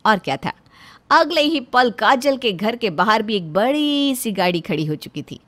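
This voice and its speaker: Female narrator, fairly high voice, scripted storytelling for a moral tale. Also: sing-song, a little slow, fairly bright tone.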